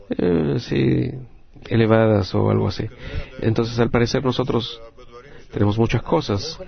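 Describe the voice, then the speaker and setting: A man with a Latin American Spanish accent interpreting a group discussion live, in halting, phrase-by-phrase delivery. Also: on the low side, gravelly, highs cut off.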